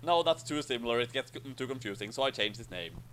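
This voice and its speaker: A man's voice: medium pitch, lively intonation, fast, noisy background. A man giving casual commentary as the author of a story.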